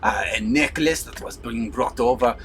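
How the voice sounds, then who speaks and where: A man voicing a vague wandering male scholar, halting and full of long pauses. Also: mid-range voice, fairly bright tone, slight background noise, lightly acted. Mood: distracted. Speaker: player voicing a character.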